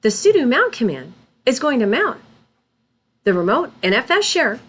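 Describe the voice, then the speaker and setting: A woman with a fairly high voice gives scripted tutorial narration. Her pitch moves in a very lively way while she instructs deliberately, step by step, as a teacher recording a voice-over. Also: slightly rough. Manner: deliberate, instructional, spells out terms letter by letter.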